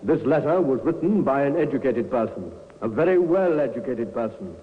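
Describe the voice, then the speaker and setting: An actor playing an earnest police investigator in 1950s radio style, keen, deliberate and inquisitive. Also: male voice, mid-range voice, lively intonation, lightly acted. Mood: keen, questioning. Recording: tinny, hissy old radio, long reverb.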